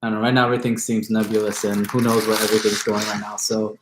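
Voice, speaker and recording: A man's voice: fairly low pitch, flat delivery, fast, echoey room, noisy background. A middle-aged man with a mixed Chicago and New York accent, chatting casually on a live stream with many hesitant fillers. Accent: mix of Chicago and New York. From born in Brooklyn, grew up in Chicago.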